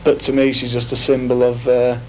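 A man's voice: mid-range voice, monotone, fairly quick, darkish tone, poor audio. A young man with a Lancashire accent, his voice sitting in an ordinary middle range as he earnestly argues his opinions. There is faint hiss from an old 1980s television film. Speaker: interviewee. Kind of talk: casual opinion chat.

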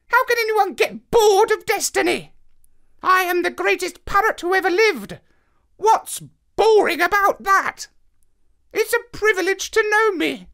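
A man's voice: very high-pitched, vocal fry, sharp tone, voice pushed up, exaggerated voice. A man voicing a haughty, megalomaniac parrot in a squawky screech for a children's story. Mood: pompous. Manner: haughty, orating, self-important. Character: pompous megalomaniac male parrot, screechy and imperious.

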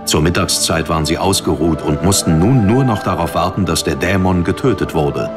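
A man's voice: sits low, fairly quick. A man reading scripted dramatic narration as an audiobook narrator. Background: music under the narration.

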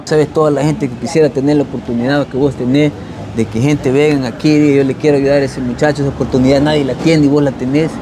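Fast-talking, coaxing young Salvadoran man. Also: mid-range voice, fairly even intonation. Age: young adult. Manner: coaxing, earnest. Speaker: interviewer. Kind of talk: casual street interview. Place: outdoors on a city street at night. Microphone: clip-on lavalier. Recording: busy street noise behind voice.

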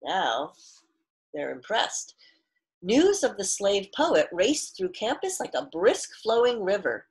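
A middle-aged woman reading a storybook aloud expressively like a teacher, deliberately stressing key words and pausing to explain. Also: medium pitch, very animated, breathy edge, low-quality recording. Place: small living room at home, indoors.

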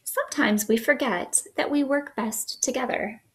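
A woman in her thirties hosting a children's story time, reading aloud in an expressive, sing-song way at home. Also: fairly high pitch, normal speed. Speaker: children's librarian, storytime host. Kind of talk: picture book read-aloud for young children. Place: small living room indoors.

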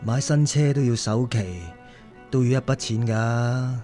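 A man's voice: mid-range voice, steady tone, unhurried, dark tone, soft background music, close to an everyday voice. A man acting as a hardworking, devoted father in a radio drama, apologetic and hesitant, with a Hong Kong Cantonese accent. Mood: weary, resigned.

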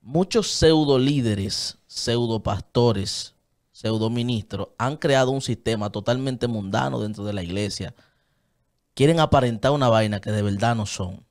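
A man with a Dominican Spanish accent, in his thirties, talking earnestly and gesturing as he makes his point. He is close on a studio desk microphone in a small padded booth, with a voice of middling pitch. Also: unhurried, a little mellow. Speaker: guest. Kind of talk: casual studio chat. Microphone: studio mic on a desk stand, headphones on.

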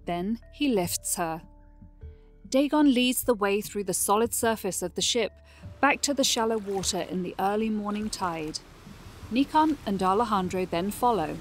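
A woman's voice: medium pitch, expressive, normal speed, faint music behind. A woman reading scripted narration for a fantasy audio drama.

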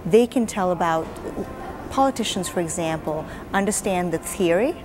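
A woman in her forties, an advocacy director answering interview questions in an earnest, measured way with frequent fillers, seated in a hotel lounge. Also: on the low side, expressive, music in the background, low-quality recording.

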